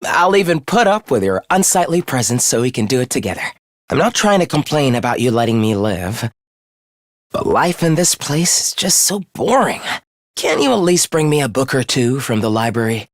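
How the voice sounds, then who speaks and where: An actor playing a polite, softly menacing young man who loathes humanity, speaking in a formal, theatrical, condescending way for a video game. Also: medium pitch, big pitch swings, normal speed, no room sound, studio quality, close to an everyday voice. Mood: soft, courteous. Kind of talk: English video game voice acting.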